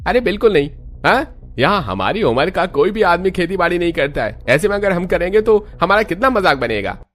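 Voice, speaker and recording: A man's voice: high voice, big pitch swings, fairly quick, a bit bright, voice pushed up. A man voicing an angry, selfish young husband who scolds indignantly in a cartoon story. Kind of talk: Hindi cartoon story voice acting.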